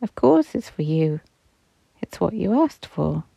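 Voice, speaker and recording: A woman speaking as a band of loving angel friends, bright and gleeful, their voice lifting high with delight as they reassure and laugh along. they sound soft and kindly, like a storybook of guardian spirits, recorded close and dry. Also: sing-song, a little slow, vocal fry, husky, no room sound, exaggerated voice.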